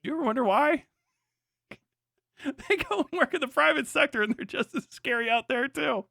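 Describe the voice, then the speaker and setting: A man's voice: very high voice, normal speed, gravelly, sharp tone, dry recording, clean studio sound. A man doing casual, joking reaction commentary as a live streamer, sarcastic and swearing freely.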